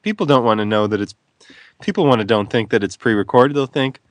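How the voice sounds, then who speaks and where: A man's voice: medium pitch, fairly quick, dry recording. A man who is an author, talking as a guest in a casual radio interview, playful and wry, wandering off on tangents.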